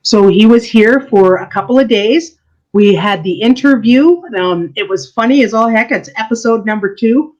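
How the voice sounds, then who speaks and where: A woman's voice: on the high side, moderate pace, darkish tone. Woman historian, muffled lo-fi sound. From Canada. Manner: earnest explaining with frequent laughs. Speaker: historian and church archivist, interview guest. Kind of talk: casual interview answers. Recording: dull lo-fi sound.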